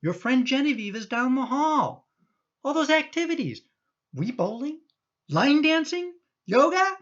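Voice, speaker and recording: A woman's voice: high-pitched, sing-song, unhurried, dull audio. A woman playing an exasperated, protective middle-aged son in a radio play, indignant and emphatic in manner. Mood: indignant, insistent.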